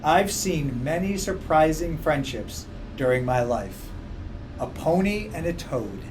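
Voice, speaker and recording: A man reading a children's book aloud in a measured, expressive way. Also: a little high, slight background noise, low-quality recording. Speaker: story reader. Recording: music playing behind. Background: background music.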